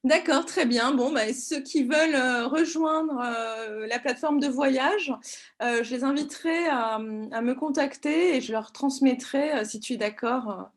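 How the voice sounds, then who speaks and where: High-voiced woman interviewer. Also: a little slow. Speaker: interviewer, host. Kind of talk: interview hosting.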